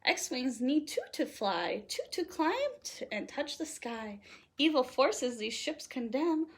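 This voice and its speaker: A woman reading a children's picture book aloud in an animated, playful way, leaning on the rhymes. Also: sits high, slow pace. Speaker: storytime host reading aloud.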